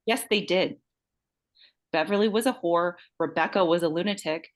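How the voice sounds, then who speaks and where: A woman's voice: lowish voice, moderate pace, close to an everyday voice. A woman playing a vain, unhinged beauty-queen murderer in a live screenplay table read, taunting and swearing with theatrical flair. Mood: smug, cold. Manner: taunting, profane, theatrical outbursts.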